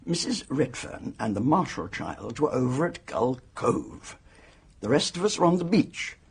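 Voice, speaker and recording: A performer playing a blunt, hearty spinster hotel guest in a radio mystery, forthright and emphatic with a husky voice. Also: male voice, medium pitch, very animated, unhurried, heavily acted. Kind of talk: radio mystery drama acting.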